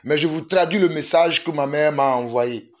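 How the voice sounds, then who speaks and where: A man's voice: a little high, moderate pace. A man from Togo, preaching a fervent sermon that mixes prayer with urgent exhortation and repetition. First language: a Togolese language.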